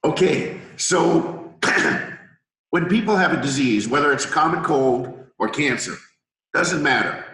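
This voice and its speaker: A middle-aged man with a hoarse voice from a cold, hosting an interview as a healer and explaining things at length with analogies. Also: fairly high pitch, lively intonation, takes it slowly, pushed and bright, roomy sound. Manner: confident, lecturing with analogies. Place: room in Thailand.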